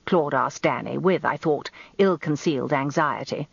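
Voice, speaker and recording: An actress playing a genteel, self-important middle-aged English wife, narrating a radio drama in an arch, confiding, expressive way. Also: female voice, low voice, moderate pace, creaky, husky, dry recording, highs cut off, exaggerated voice.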